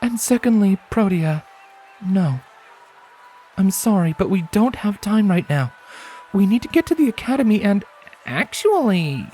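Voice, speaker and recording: A man's voice: sits high, very animated, unhurried, long pauses, a little mellow, a little hiss or hum, pitched above the performer's own voice. A man voicing a guarded, protective adult mare, a pony in a fantasy story, with an earnest, firm manner. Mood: stern, worried.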